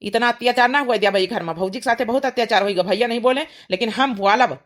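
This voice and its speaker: Woman doing young awadhi village son in a cartoon, highish voice, protective, telling people off. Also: fast talker, lightly acted. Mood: firm. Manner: firm, admonishing. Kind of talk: cartoon comedy acting.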